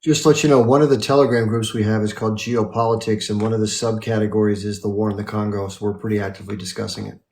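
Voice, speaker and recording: Low-voiced man hosting a live stream. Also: fairly even intonation, quick pace, gravelly, few pauses, a little mellow. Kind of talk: casual moderating of callers.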